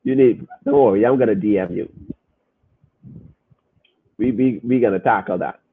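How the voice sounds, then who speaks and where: A man's voice: fairly low pitch, normal speed, slightly rough. A man hosting a podcast, talking emphatically and preaching directly to his listeners as he reacts to their comments. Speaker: podcast host. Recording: phone-like, narrow band.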